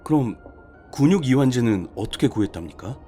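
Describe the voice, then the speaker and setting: A man's voice: mid-range voice, expressive, a little fast, warm tone, faint music behind, natural voice. A man playing a young, deferential junior detective in an audio horror story, reacting politely and hesitantly in disbelief. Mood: appalled, musing. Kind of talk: dramatized horror short story reading.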